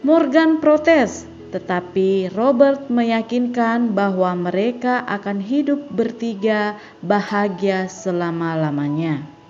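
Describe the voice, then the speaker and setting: A woman narrating a film recap in a slow, measured, scripted reading style. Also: medium pitch, darkish tone, dull audio, faint music behind.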